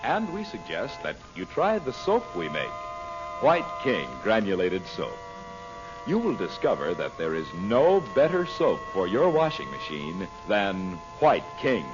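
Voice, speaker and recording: Lively old-time male radio announcer. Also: on the low side, unhurried. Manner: salesmanlike, emphatic. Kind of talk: 1940s scripted radio ad and sign-off. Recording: muffled old radio broadcast recording. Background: music.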